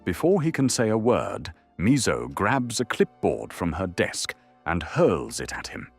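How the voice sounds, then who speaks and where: A man's voice: low-pitched, wildly swooping pitch, a little slow, faint music behind. A man narrating an audio drama in a dramatic, scripted storytelling style.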